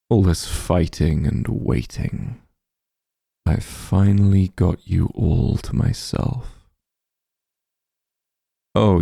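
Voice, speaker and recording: A man playing a powerful, calm and smug demon lord in a fantasy ASMR roleplay, unhurried and condescending, with a gravelly, husky low voice. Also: no echo, clean studio sound, full character voice. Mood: pleased, possessive. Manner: unhurried, condescending, softly commanding.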